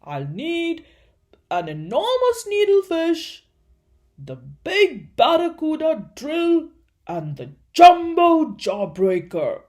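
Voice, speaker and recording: Woman acting cowardly male sea dentist, frantic, blustering, swooping pitch, high shrieks, storybook character. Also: wildly swooping pitch, slow, darkish tone, exaggerated voice. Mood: commanding. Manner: shrieking, stammering, theatrical.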